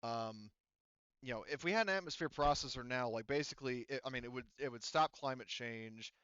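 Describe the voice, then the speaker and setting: A man running a tabletop game, thinking out loud with plenty of fillers and the odd curse. His voice is smooth and clear, recorded close and dry. The voice chat audio sounds a little muffled. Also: medium pitch, no room sound. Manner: rambling, filler-heavy, profane. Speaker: game master.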